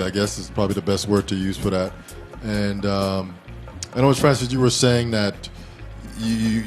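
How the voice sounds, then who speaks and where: A man hosting a casual live talk show, asking questions in a halting way and often repeating words. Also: fairly low pitch.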